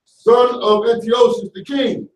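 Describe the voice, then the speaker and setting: Man reading slowly, high and far off. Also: fairly even intonation. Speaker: student reading aloud. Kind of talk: reading scripture aloud in class. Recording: echoey room, heard from far off.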